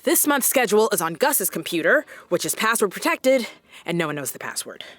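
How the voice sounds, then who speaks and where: A woman's voice: mid-range voice, big pitch swings, a little fast, no echo. A woman playing a stressed, exasperated and earnest radio station worker in comedy audio drama dialogue. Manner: exasperated, wordy.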